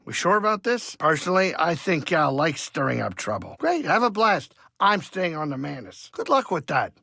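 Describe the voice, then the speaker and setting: An actor voicing a grumpy, wisecracking older alien pilot and cook in a video game, sarcastic and blustery. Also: male voice, on the high side, very animated, moderate pace, gravelly, sharp tone, no echo, studio quality, full character voice. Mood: exasperated, grumbling. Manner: sarcastic, blustery, grumbling banter with exasperated outbursts. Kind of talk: video game character dialogue.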